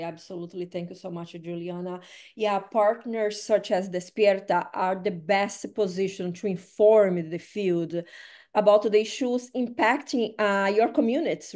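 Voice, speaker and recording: A woman's voice: medium pitch, darkish tone. Woman hosting a panel. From Brazil. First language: Portuguese. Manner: measured, reads prepared remarks with tag questions. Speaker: host, staff of the organization. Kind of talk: scripted panel remarks.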